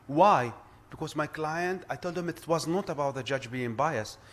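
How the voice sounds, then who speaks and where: A man with an Arabic accent addresses a conference round table. His voice sits a little high for a man. He speaks earnestly, often restarting his sentences and repeating words. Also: normal speed, a bit bright. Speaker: conference speaker, arbitration lawyer.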